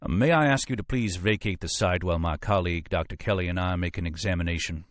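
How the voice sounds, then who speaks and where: A man's voice: deep voice, fast, no echo, natural voice. A man voicing a coolly manipulative, courteous but commanding FBI agent with a Southern accent, in an audiobook. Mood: stern. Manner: courteous, turning sharp and officious.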